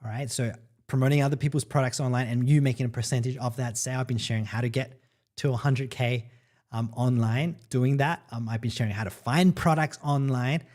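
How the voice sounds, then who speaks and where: An upbeat middle-aged man from El Salvador talks straight to his livestream viewers as a marketing coach. His voice sits at an ordinary middle pitch, and he keeps the chat loose with "right?" and "guys". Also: steady tone, fairly quick, a little mellow. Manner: upbeat and chatty, peppering lines with "right?" and "guys". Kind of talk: casual livestream talk to viewers.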